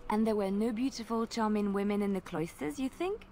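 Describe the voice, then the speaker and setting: A devout young minstrel woman from a fantasy game, played by an actress, speaks in formal, storytelling phrases. She is coy and wistful, with a middle-pitched voice over faint background ambience. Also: brisk, dark tone, slight background noise, natural voice. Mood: playful, coy. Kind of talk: video game dialogue acting.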